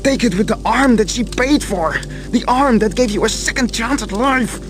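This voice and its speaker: A man's voice: very high voice, normal speed, music in the background. A man playing an earnest, stubborn inventor in a fantasy video game, intense and self-questioning. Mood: agitated, urging himself.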